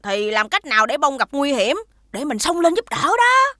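Eager high-pitched little boy hero. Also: moderate pace, dry recording, clean studio sound, full character voice. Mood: excited. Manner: excitable, boastful, childlike. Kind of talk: children's 3D cartoon dubbing. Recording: music behind the voice.

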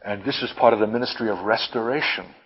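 A man preaching and teaching in a deliberate, emphatic manner with lofty wording, halting now and then to repeat himself. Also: fairly low pitch, slightly rough. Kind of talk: impassioned prophetic sermon, teaching. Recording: dull, lo-fi sermon recording.

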